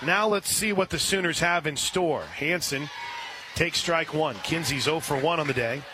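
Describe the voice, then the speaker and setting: High-pitched American man calling softball on radio. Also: moderate pace, noisy background. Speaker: radio play-by-play sports announcer. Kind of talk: live softball play-by-play commentary with sponsor reads.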